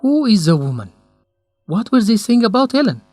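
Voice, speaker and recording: An actor playing a caring, concerned young man in a radio drama, speaking in simple, deliberate phrases. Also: sits high, big pitch swings, a little mellow, no room sound, faint music behind. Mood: surprised, curious. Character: caring, concerned young male research companion and friend. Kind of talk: English-learning radio drama acting.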